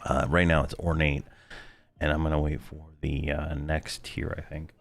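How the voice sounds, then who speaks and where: A man commentating his own gameplay in a rambling, laid-back way, full of fillers, his voice hoarse from losing it. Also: extremely low pitch, flat delivery, normal speed, faint music behind. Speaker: game video host.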